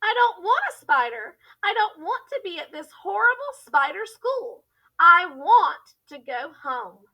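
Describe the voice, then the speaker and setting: A woman's voice: very high voice, very animated, measured pace, muffled recording, voice pushed up, full character voice. A woman voicing an anxious little schoolgirl from a children's storybook, earnest and plaintive. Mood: upset, wailing.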